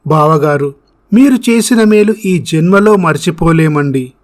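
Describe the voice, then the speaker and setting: Man playing a high-voiced earnest older patriarch. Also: lively intonation, a little slow. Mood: grateful. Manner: earnest, respectful. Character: worried, humbled older wealthy family patriarch.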